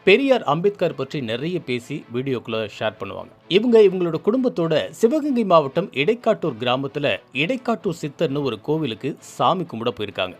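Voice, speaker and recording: A man's voice: fairly high pitch, sing-song, fairly quick. A man presenting scripted social commentary in an emphatic, indignant manner full of rhetorical questions.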